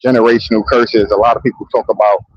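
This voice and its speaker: Husky man rambling in profane casual talk. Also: lowish voice, flat delivery, fast, no room sound, muffled recording. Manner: profane, loose, repeats "you know what I mean". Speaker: vlogger. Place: outdoors in a public area near trains. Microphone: handheld mic.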